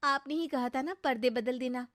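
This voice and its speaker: A woman's voice: sits high, normal speed, fairly bright tone, no room sound, clean studio sound. A woman voicing a sensible, patient young daughter-in-law in a cartoon story, explaining herself with mild protest. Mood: matter-of-fact. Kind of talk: Hindi cartoon story acting.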